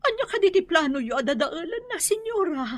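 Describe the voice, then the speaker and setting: Humble mother, very lively pitch. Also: brisk, no room sound, clean studio sound. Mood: distressed, respectful. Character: humble distressed mother defending her child. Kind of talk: Filipino radio drama acting.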